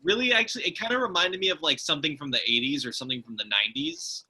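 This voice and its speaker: Man, very sharp bright tone, higher pitch, casual chat, loose phrasing. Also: very fast, husky, poor audio.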